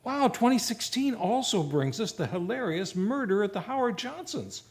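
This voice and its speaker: A man with a fairly high voice delivers a scripted radio promo. His pitch swings widely, and the delivery is theatrical and exaggerated. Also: big pitch swings, moderate pace.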